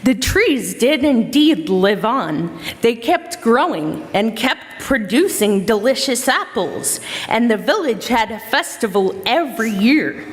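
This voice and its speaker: Slow, expressive woman narrator. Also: on the high side. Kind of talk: scripted storybook narration for readers theater. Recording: reverberant hall.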